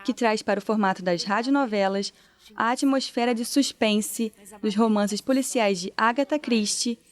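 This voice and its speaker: A woman from Brazil presenting a narrative podcast, reading her script in a measured, clear way. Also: fairly high pitch.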